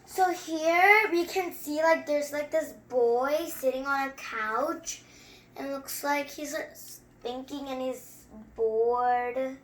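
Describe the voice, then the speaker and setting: High, bright female storyteller in echoey room. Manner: halting word-by-word reading, informal chatty asides. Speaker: host of a picture book story time. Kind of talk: read-aloud storytelling with casual commentary. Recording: roomy echo.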